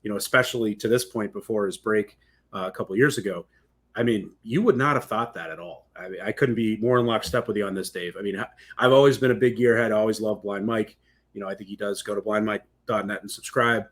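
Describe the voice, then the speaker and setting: A man with a husky, lowish voice talks quickly as a podcast co-host. He is conversational and agreeable, spinning out long casual explanations. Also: fairly bright tone.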